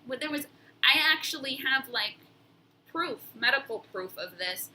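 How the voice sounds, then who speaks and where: A woman's voice: high voice, animated, normal speed, sharp tone, slight background noise. A young woman with a nasal voice, talking casually and reflectively in a personal vlog, with frequent fillers and restarts. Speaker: vlogger.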